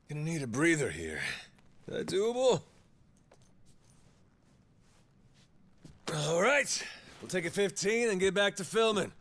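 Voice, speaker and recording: An actor playing a brash, cocky middle-aged gangster pirate captain with a theatrical drawl in a video game dub. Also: male voice, sits high, a bit bright, full character voice. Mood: weary, casual. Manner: drawling, theatrical, foul-mouthed.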